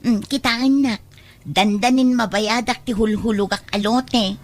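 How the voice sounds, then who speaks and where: A woman's voice: on the high side, fairly even intonation, unhurried, no room sound, lightly acted. A woman playing a practical female friend in an audio drama, talking persuasively as she gives advice. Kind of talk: Ilocano audio drama dialogue.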